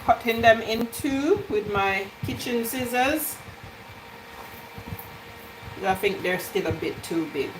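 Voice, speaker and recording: Woman, teasing, slow unhurried talk, home kitchen, faint background hum. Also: a little high, expressive. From Jamaican heritage. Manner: playful, teasing, joking with chat. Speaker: cooking livestream host. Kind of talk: casual live cooking chat, reading out viewer comments.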